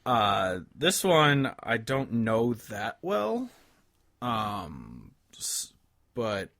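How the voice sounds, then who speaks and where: A man's voice: lowish voice, wildly swooping pitch, very slow, fairly bright tone. A man co-hosting a podcast, introducing and discussing music casually in a loose, hesitant, joking manner. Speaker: podcast co-host.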